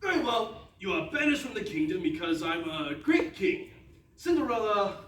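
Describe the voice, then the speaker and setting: A man's voice: sits high, very animated, normal speed, a bit bright. A man playing a needy, melodramatic king in a roomy hall. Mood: sulky, grand. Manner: melodramatic, wheedling. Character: needy, insecure, petulant middle-aged fairy-tale king. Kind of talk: comic stage readers theatre. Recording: roomy, distant stage recording, faint noise.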